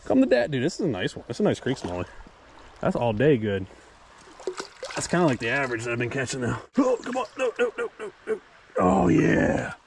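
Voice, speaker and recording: Guy hosting a fishing vlog outside, super animated, says dude a lot, bit of background noise. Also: medium pitch, fairly quick, vocal fry. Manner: excitable, slangy, says dude and man often. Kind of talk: casual excited vlog chat while fishing. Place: outdoors at a wooded creek. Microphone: action camera worn or held by him. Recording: outdoor recording with background noise.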